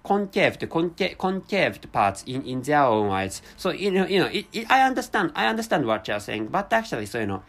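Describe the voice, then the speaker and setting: Man, Japanese accent, non-native speaker; hesitant solo monologue, animated pitch. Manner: halting, searching for words, self-correcting. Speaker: vlogger. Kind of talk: unscripted monologue in a second language.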